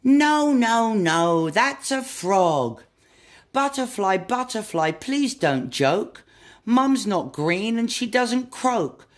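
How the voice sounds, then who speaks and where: A woman's voice: on the high side, big pitch swings, slow, voice pushed up. A woman reading a storybook aloud as a lost, upset young monkey who protests in exasperation. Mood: exasperated.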